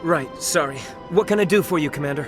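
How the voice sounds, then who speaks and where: An actor voicing a nervous, awkward young soldier who stammers. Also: male voice, a little high, fast talker, close to an everyday voice. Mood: flustered. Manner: flustered, stammering. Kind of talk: video game voice acting. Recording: game audio over music.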